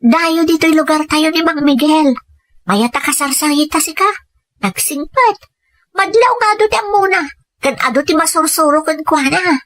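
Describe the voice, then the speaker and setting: A woman's voice: sits high, expressive, moderate pace, fairly bright tone, no echo. A woman playing an eager, persuasive young female student in an Ilocano audio drama.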